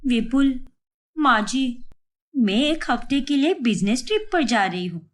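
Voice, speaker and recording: A woman voicing a scheming, sharp-tongued young wife in a cartoon story, speaking in a theatrical, defensive way. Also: sits high, lively intonation, measured pace. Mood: smooth-talking.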